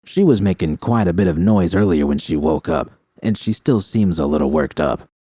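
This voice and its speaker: A man narrating an audiobook puts on a menacing male gang boss. The voice is deep and shadowy, with a close, dry sound. He leers and mocks, drawing out his sneering taunts. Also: warm tone, dry recording. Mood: mocking. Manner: taunting, sneering, drawn-out talk. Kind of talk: audiobook character dialogue.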